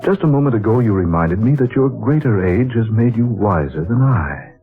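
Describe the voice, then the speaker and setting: A restless, searching younger man. His voice sits a little low but rises and falls a lot as he questions and pleads earnestly. The recording is thin and phone-like, an old radio broadcast with faint music. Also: moderate pace. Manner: earnest, pleading, expressive delivery. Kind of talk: 1940s radio acting and first-person narration.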